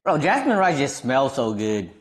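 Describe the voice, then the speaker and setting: Young male streamer, Midwestern accent, easygoing rambling chat, mid-pitched voice. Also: moderate pace. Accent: Midwestern American. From Wisconsin, US Midwest. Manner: laid-back, rambling, lots of fillers, animated when amused. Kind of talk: casual chat with viewers.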